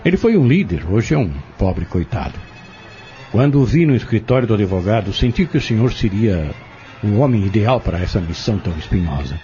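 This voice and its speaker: A man acting a composed, persuasive, scheming trader in an audio drama, speaking formally and politely with measured phrasing. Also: lowish voice, sing-song, warm tone. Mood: shrewd, persuasive. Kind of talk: dramatic radio-novela acting. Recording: muffled lo-fi audio drama recording. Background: prominent background music.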